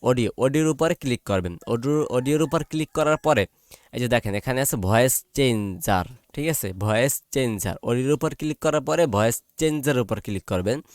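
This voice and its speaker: A man with a Bangladeshi accent walks through software step by step in an informal way. His voice sits at a middling pitch in a dry, close recording. He repeats each step and often checks that listeners are following. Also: moderate pace, no room sound. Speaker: tutorial presenter.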